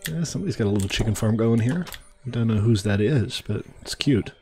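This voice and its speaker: A man's voice: fairly low pitch, lively intonation, quick pace, mellow, slight background noise. A man streaming a game, a little stuffy in the nose, chatting easily with friends and thinking aloud as he plays. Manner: easygoing, thinking aloud with fillers. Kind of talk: casual gameplay chat.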